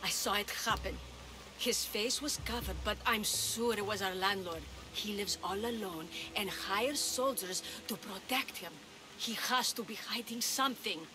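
An actress as a suspicious village woman, mid-pitched. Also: unhurried, natural voice, low-quality recording. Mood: suspicious, earnest. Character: suspicious female Greek villager eyewitness, earnest and accusing. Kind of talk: video game voice acting.

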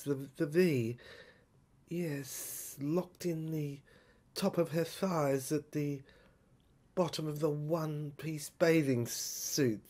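Woman, dramatic storyteller, lowish breathy voice, halting, repeated phrases, first-person narration. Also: a little mellow.

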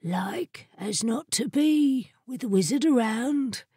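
A woman's voice: fairly high pitch, lively intonation, warm tone, slightly rough, dry recording, clean studio sound, exaggerated voice. A woman voicing a lugubrious, self-important talking armchair in a storybook, drawing out its sleepy complaints. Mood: sleepy, aloof. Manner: lugubrious, slow, drawn-out complaint.

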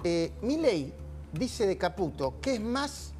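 A man's voice: high voice, big pitch swings, slow pace, a little mellow, soft background music. A middle-aged man with an Argentine accent hosts a political panel in a TV studio, emphatic and insistent, often interjecting. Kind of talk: animated political panel discussion. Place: TV news studio.

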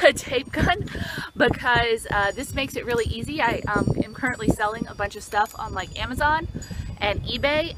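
A woman's voice: fairly high pitch, noisy background. A young woman vlogging outdoors beside her car's open trunk, chatting casually with many ums and restarts, recorded on a camera close in front. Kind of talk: casual show-and-tell vlog. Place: outdoors by an open car trunk on a sunny street.

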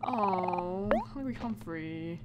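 A woman's voice: lowish voice, drawn-out words. A woman giving casual, reactive let's play commentary, reading game text aloud and adding informal asides. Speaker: gamer narrating a playthrough. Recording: heavy background noise, clicks.

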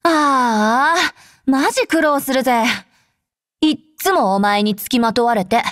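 An actress playing a brash, hot-tempered young female fighter with rough, curt tomboyish speech, in anime game voice lines. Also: high voice, unhurried, a bit bright, dry recording, studio quality. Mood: gruff, reluctant. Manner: rough, curt masculine speech, easily flustered.

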